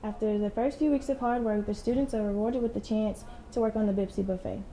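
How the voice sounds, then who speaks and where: A young woman student reporter narrating a news feature in a quick, polished read. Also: mid-range voice, fairly even intonation, dark tone, rough recording. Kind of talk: scripted news package narration.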